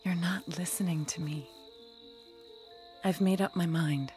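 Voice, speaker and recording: An actress plays a young bride-to-be in an audio drama. She sounds gentle and guarded yet quietly resolute, in a fairly low voice. Soft music sits underneath. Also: fairly even intonation, measured pace, natural voice. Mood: hushed, resolute. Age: young adult. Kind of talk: radio drama acting.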